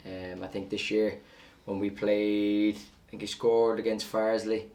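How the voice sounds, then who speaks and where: A man, a football coach giving a measured, plain-spoken post-match interview. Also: fairly low pitch, monotone, dark tone, distant mic.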